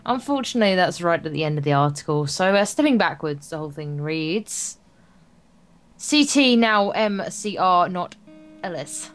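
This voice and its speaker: A woman's voice: on the low side, lively intonation, moderate pace. A young woman co-hosting a let's play, reading visual novel text aloud with playful, casual banter.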